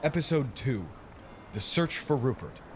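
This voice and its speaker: A man works as announcer, reading scripted narration. His pitch is mid-range, and clear background noise runs beneath his voice. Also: lively intonation, unhurried.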